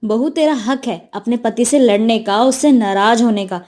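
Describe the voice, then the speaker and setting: A woman's voice: on the high side, normal speed, darkish tone, natural voice. A woman playing a stern, protective mother-in-law in a moral-story cartoon, scolding emphatically. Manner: admonishing, emphatic.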